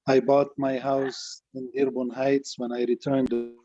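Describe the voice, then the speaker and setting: A man giving a formal statement as a political candidate, with deliberate, halting phrasing. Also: medium pitch, flat delivery, mellow, no echo, muffled recording. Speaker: city council candidate.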